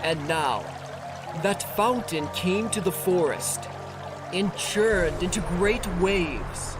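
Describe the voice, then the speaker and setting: A narrator reading a scripture audiobook in a solemn, measured, formal manner. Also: mid-range voice, lively intonation. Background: music.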